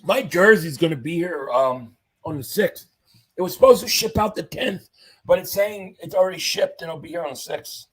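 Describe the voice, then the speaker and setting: A man's voice: high-pitched, moderate pace, low-quality recording. A man hosting a casual sports livestream, reading viewer comments aloud as an upbeat fan while he loses his voice. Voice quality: husky, fading voice. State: losing his voice. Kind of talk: casual live-chat commentary.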